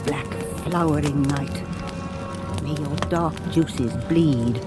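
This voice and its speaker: An actress playing a ritual chanter in a 1980s radio drama, intoning poetic spells slowly and intensely. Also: female voice, sits low, over loud music. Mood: cursing.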